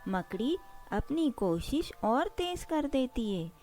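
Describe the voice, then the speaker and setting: A woman's voice: high-pitched, sing-song, slow, darkish tone, faint music behind. A woman narrating a scripted children's story as the storyteller.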